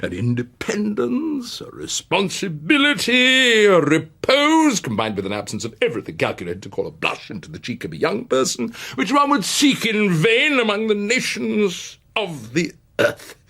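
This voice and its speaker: A man playing a pompous, self-satisfied Victorian English gentleman in a theatrical reading, with grand, drawn-out oratory. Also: high voice, huge pitch swings, creaky, voice pushed up, full character voice.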